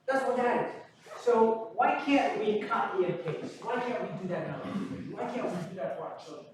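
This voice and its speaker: A man's voice: sits high, normal speed, crowd noise. A man, a pharmacist and teacher, giving an earnest motivational talk at a seminar, full of rhetorical questions. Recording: very echoey hall, distant mic.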